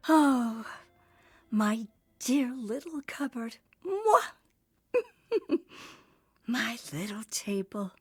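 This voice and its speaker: A woman's voice: a little high, sing-song, drawn-out words, long pauses, breathy edge, dry recording, full character voice. A woman voicing an eccentric, sentimental aristocratic lady in a visual novel, gushing and childlike in a theatrical, sighing way. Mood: giddy overjoyed.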